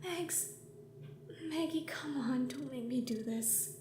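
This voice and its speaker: A woman's voice: high voice, slow. A woman playing a small, exasperated, reluctant young spaceship captain in a sci-fi audio drama, indignant and protesting. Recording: echoey room with faint noise.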